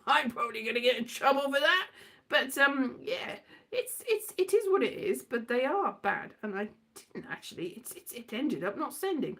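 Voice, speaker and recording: High, animated British woman rambling comically. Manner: animated, theatrical, acts out exchanges, breaks into sing-song. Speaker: solo host of an audio show. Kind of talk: rambling comic monologue, casual anecdotes.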